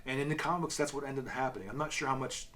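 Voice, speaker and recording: A man's voice: medium pitch, steady tone, very fast, a bit bright, a little hiss or hum. A man co-hosting a casual review chat, explaining things in a loosely worded way with asides. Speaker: co-host of a comic review show.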